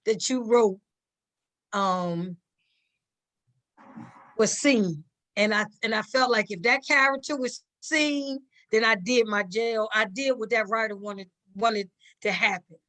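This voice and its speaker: A woman with a bright, forceful voice on the higher side chats as a guest on a panel. She is modest and deferential, circling back over her words for emphasis. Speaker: stage play cast member, guest. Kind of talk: casual panel conversation.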